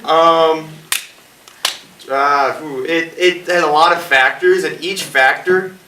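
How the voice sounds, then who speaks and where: A man's voice: a little high, fairly even intonation, slow pace, pushed and bright. A man in his twenties, a playwright and director, speaking informally and jokily at an audience Q&A. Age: 20s. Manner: rambling, jokey. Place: indoor performance space, recorded from afar. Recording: echoey room, distant mic, noisy.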